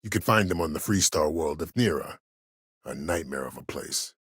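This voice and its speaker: Very deep, husky disgraced admiral. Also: male voice, lively intonation, a bit bright, no room sound, clean studio sound, full character voice. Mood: businesslike, cold. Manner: measured, formal, deliberate, coolly persuasive. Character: imprisoned disgraced old admiral, calculating, coldly courteous schemer. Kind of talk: video game character dialogue.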